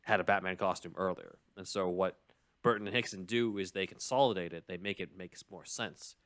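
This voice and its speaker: A man's voice: sits low, fairly quick, fairly bright tone. A man hosting a podcast, explaining a film story in casual chat with an analytical, enthusiastic fan's manner and casual asides. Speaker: podcast host.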